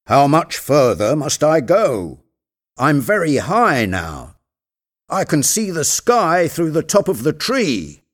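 A man's voice: on the high side, wildly swooping pitch, slow pace, voice pushed up, heavily acted. A man voicing a reluctant, wary male servant, hesitant and protesting, in a storybook tale. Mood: uneasy.

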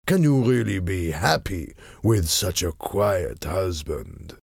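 A man voicing a cold, cunning French ambassador of forty in an audiobook, smooth-tongued, deliberate and menacing. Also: sits low, big pitch swings, no room sound, studio quality, heavily acted. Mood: proud, probing.

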